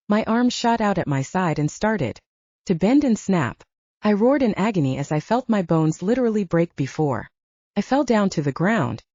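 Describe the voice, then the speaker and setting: A woman's voice: fairly low pitch, warm tone, dry recording, highs cut off. A woman narrating scripted first-person fiction as an audiobook reader.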